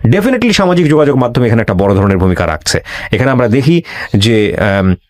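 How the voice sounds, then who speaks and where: A man's voice: mid-range voice, very animated, fairly quick, darkish tone, no echo. A middle-aged man with a Bangladeshi accent hosting an earnest advisory talk, speaking emphatically, repeating phrases and dropping in English words. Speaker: talk show host. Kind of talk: earnest advisory monologue and interview.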